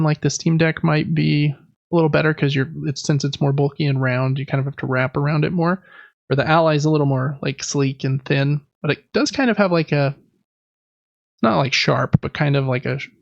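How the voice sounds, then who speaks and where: Man with a creaky, higher-pitched voice. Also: no room sound, muffled recording. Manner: rambling, enthusiastic, informal. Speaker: podcast co-host. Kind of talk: casual gaming podcast chat.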